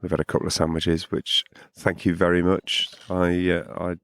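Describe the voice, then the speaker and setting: Very deep-voiced, hoarse man. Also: moderate pace, no room sound. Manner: relaxed, rambling, repeats words as he goes. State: morning voice hoarse from drinking. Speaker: podcast co-host. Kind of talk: casual chat outdoors at a campsite.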